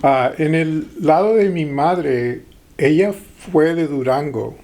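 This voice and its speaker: Slow, hesitant middle-aged man. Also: on the high side, darkish tone. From Texas-Mexico border. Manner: hesitant, many pauses and fillers. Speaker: guest. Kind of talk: interview conversation.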